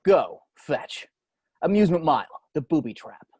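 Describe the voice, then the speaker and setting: A man's voice: fairly high pitch, very animated, normal speed, a little mellow, husky. A man playing a volatile, preening crime boss with a theatrical, imperious manner in a table read. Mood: smug, commanding. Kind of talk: table read of a film script.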